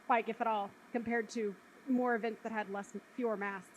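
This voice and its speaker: A woman's voice: a little high, fairly even intonation, moderate pace, highs cut off, slight background noise. A woman hosting a casual online panel discussion about a show. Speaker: host of an online audio drama show.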